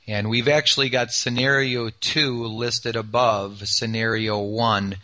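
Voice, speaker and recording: A man with a raspy, worn voice going through market scenarios, pausing often with filler sounds. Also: on the low side, pushed and bright. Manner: halting, many filler uhs. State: raspy voice after yelling. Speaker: market analyst co-host. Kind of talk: market analysis talk.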